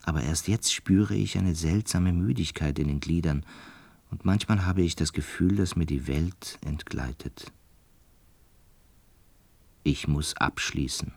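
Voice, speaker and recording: An actor playing a gentle, melancholic butterfly enthusiast in a radio drama, measured, reflective and hesitant, with a husky voice. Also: male voice, a bass voice, no room sound, exaggerated voice. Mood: resigned, reflective. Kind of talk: radio drama acting and first-person narration.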